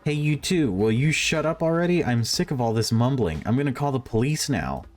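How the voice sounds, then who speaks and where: A man's voice: medium pitch, expressive, fairly quick, a little mellow, faint music behind, pitched above the performer's own voice, lightly acted. A man voicing an irritated, complaining neighbor in a visual novel, snappish in manner. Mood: annoyed.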